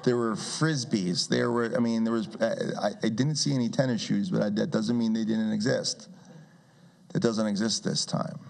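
A man in his forties with a rough, gravelly voice, sitting low in pitch. He answers audience questions at a community meeting, speaking into a handheld mic. He talks in a loose, conversational way, restarting words and dropping wry asides. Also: fairly even intonation, moderate pace, darkish tone. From Brazil. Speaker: guest speaker. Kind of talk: public talk with audience questions.

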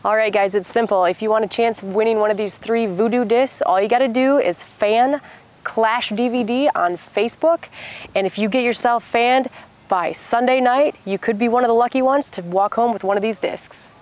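A woman's voice: a little high, moderate pace, a little mellow. A woman co-hosting a sports video show, giving a promo and chatting in a friendly, enthusiastic way. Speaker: co-host. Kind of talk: promo and banter.

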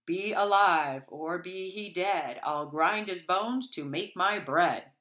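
A woman voicing a big, hungry male giant from a storybook, chanting menacingly in a booming roar. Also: slow pace, muffled recording.

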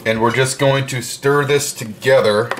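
A man talks through his home cooking at an unhurried, drawn-out pace, casual and chatty with frequent fillers. He speaks at an everyday middle pitch. He's picked up by the camera mic in a kitchen with a faint hum of noise behind him. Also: steady tone. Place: home kitchen with open windows.

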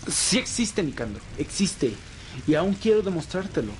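A man's voice: high-pitched, very animated, normal speed, slight background noise. A man playing a loyal, anguished vampire in an amateur audio roleplay drama, earnest and pleading. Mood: pleading, sorrowful. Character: male vampire, anguished loyal friend defying his companion.

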